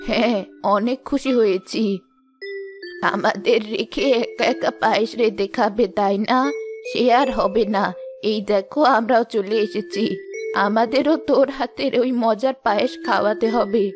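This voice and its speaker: A woman voicing a kindly, careworn village grandmother in an animated moral story, affectionate and gently scolding. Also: on the high side, a little slow, close to an everyday voice. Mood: pleased. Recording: music behind the voice.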